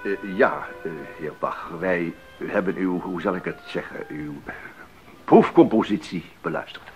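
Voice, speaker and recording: A man playing a formal, deliberate rector chairing a council in a radio play, speaking in a measured way. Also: low-pitched, muffled recording, music in the background. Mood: measured, official. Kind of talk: 1980s Dutch radio play acting.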